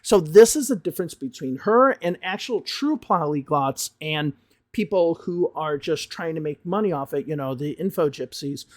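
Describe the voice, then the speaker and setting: A man, an English teacher, giving emphatic, animated commentary on a video he is reacting to. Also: on the high side, normal speed, no echo.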